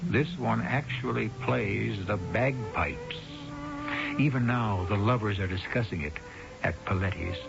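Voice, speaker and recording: A man hosting a radio drama with theatrical scripted narration, wry, measured and formal. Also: on the low side, vocal fry, loud music behind the voice. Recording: dull vintage radio recording.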